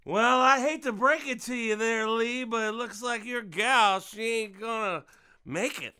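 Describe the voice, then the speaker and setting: Male actor as protective father, bright forceful high voice, folksy drawl, mock-friendly with menace, slow delivery, audio drama. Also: smooth, full character voice. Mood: insistent, folksy. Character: menacing father posing as jovial barfly, confronting online predator.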